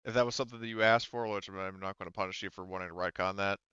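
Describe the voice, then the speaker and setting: A man's voice: low voice, steady tone, very fast, sharp tone, clear, resonant tone, no echo, muffled recording. A man running a tabletop game as game master, talking casually and accommodatingly to his players.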